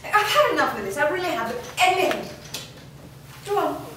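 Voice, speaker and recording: A woman's voice: extremely high pitch, big pitch swings, fairly quick. A woman playing a haughty, impatient noblewoman with theatrical disdain in a staged reading. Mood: disdainful, impatient. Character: haughty, callous, impatient royal lady. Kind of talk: staged reading of a fantasy horror script. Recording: noisy, very echoey hall from far off.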